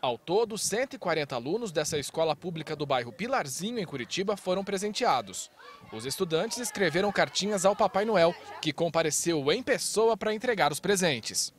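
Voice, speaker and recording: A man's voice: high voice, animated, fairly quick, background noise. A man, narrating a news report in a light, upbeat manner. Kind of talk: news voice-over.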